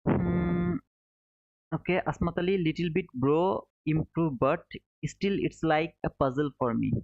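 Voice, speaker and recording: Through a thin, phone-like connection comes a fairly high-voiced man. He chats casually as a stream host, repeating short phrases and addressing viewers like pals. The room adds some echo. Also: measured pace, a little mellow. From Bangladesh. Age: 30s. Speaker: live trading stream host. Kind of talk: casual chat, answering viewer comments. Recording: narrow phone-like sound, roomy echo.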